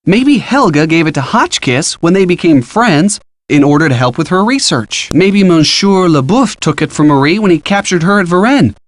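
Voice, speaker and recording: An actor playing a helpful, upbeat college-age boyfriend with an American accent, giving casual, encouraging detective hints in an adventure game. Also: male voice, fairly high pitch, expressive, normal speed, dry recording, lightly acted. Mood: curious, encouraging. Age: young adult. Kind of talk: scripted game hint dialogue.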